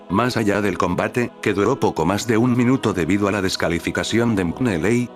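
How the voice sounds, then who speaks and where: A man with a very low voice reads a scripted narration at a steady, even pace. Soft music plays quietly underneath him. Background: faint music.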